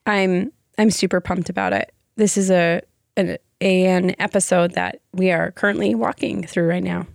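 Giggly young woman with a gravelly edge. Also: fairly low pitch, fairly even intonation, normal speed, no room sound, studio quality. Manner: teasing, giggly. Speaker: podcast co-host. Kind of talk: casual couple chat.

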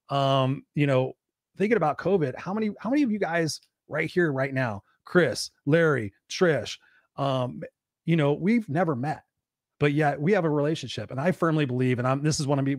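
A man's voice: on the high side, no echo, studio quality. A man hosting a live online talk show, rambling and upbeat with many fillers. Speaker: host.

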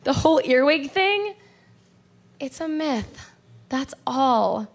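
A woman's voice: high voice, lively intonation, takes it slowly, heavily acted. An actress playing a skeptical, reassuring girlfriend in a horror radio play, soothing but exasperated. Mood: dismissive. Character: dismissive, reassuring girlfriend of a frightened woman. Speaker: actor.

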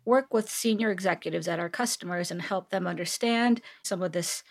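A woman's voice: fairly low pitch, a little fast, vocal fry. A middle-aged woman from Seoul speaking conversationally as a guest in an interview, given to laughing. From Seoul, South Korea. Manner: laughs often. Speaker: podcast guest, technology executive.

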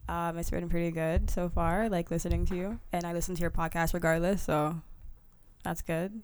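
A soft-spoken woman speaking as a guest on a podcast, hesitant and casual, with many fillers. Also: fairly low pitch, flat delivery, normal speed, clean tone, no room sound.

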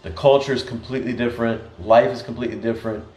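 An American man in casual conversation, telling stories in an animated way with frequent restarts. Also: mid-range voice, very fast. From United States. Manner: storytelling, animated, many restarts. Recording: echoey room.

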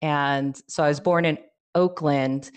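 A woman's voice: deep voice, steady tone, a little slow, clear, resonant tone. A young adult woman from California, a life coach guest on a podcast, telling her story casually with frequent laughs and fillers. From California, USA. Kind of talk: casual interview conversation.

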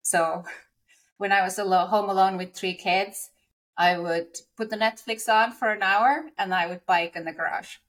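A middle-aged woman with a medium-pitched voice speaks as a podcast co-host. English is her after a Nordic mother tongue. She shares personal experience openly, in a conversational and confiding manner. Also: fairly even intonation, moderate pace, fairly bright tone, low-quality recording. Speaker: podcast co-host telling her own story.

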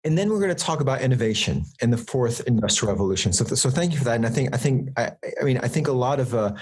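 A man's voice: medium pitch, expressive, quick pace, husky. A man hosting an interview who asks questions with stammering restarts and repeated words. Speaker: podcast host.

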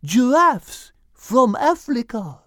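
A man's voice: very high-pitched, big pitch swings, slow pace, a bit bright, no echo, studio quality, pitched above the performer's own voice, exaggerated voice. A man voicing a friendly, polite female giraffe in a storybook reading, courteous and full of wonder.

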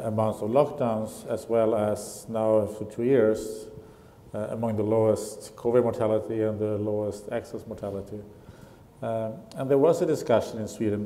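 A man on a panel answers in a measured, thoughtful way and often pauses with an "um". His voice is very dark and fairly low, and it rings with a long hall reverb. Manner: measured, thoughtful, with frequent ums.

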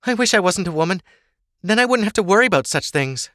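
Man voicing an earnest, high-pitched young noblewoman. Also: expressive, fast talker, sharp tone, no echo, clean studio sound. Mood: exasperated. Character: Ava, earnest, restless young noblewoman, loving but blunt daughter.

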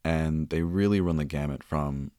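A man with a very low voice talks as a host in a relaxed interview chat. The sound is very dry and close, with no room around it. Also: moderate pace, smooth, no room sound. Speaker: podcast host and audio performer. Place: small closet home recording space. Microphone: close studio-style mic in a closet.

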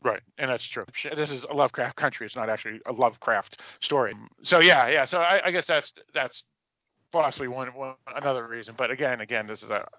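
A man with an American accent hosting a casual discussion podcast about a TV show, speaking in a rambling, halting way with repeated words. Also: medium pitch, dry recording, lo-fi sound. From Massachusetts, United States. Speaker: podcast host.

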